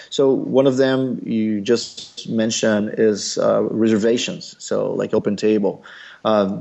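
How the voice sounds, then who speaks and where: Brazilian man explaining in halting English. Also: on the low side, vocal fry, dark tone. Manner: thoughtful, many pauses and fillers. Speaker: startup founder. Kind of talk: business interview.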